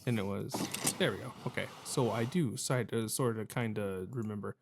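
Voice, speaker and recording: A man's voice: lowish voice, normal speed, gravelly, background noise. A man giving casual let's play commentary on a video game, thinking aloud in a hesitant, self-questioning, rambling way.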